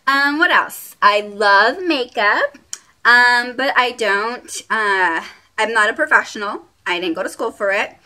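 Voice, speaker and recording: A woman's voice: a little high, animated, measured pace, pushed and bright. A woman in her late twenties from Puerto Rico, raised in Texas, chatting casually to camera at home in a rambling way with lots of fillers. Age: late 20s. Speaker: beauty vlogger. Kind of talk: casual get-to-know-me vlog.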